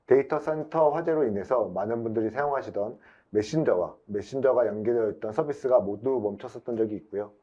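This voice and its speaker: A man's voice: mid-range voice, warm tone, dull audio. A man giving a formal, explanatory prepared webinar presentation as an IT specialist.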